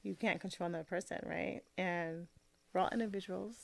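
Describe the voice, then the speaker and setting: A woman with a raspy voice, a guest on a casual talk show, a little tipsy, slurring her words and laughing easily as she talks in run-on thoughts. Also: on the low side, a little fast. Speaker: podcast guest. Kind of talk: casual chat.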